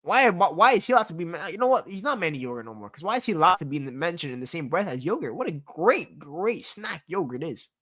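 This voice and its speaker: A man's voice: fairly high pitch, lively intonation, quick pace, hardly any pauses, bright, forceful tone. A young man hosting his own sports talk show, venting in a casual, exasperated rant full of repeats and swearing.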